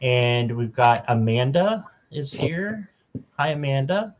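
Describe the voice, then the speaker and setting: A man in his fifties hosting a casual livestream, greeting viewers by name and filling pauses with ums. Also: mid-range voice, lively intonation, a bit bright. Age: 50s. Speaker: livestream host.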